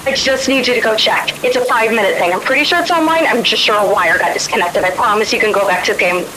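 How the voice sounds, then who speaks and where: A woman's voice: fairly high pitch, fast talker, over a noisy crowd, close to an everyday voice, rough recording. A woman playing an exasperated park worker on the radio in a tabletop game, casually nagging and coaxing a colleague.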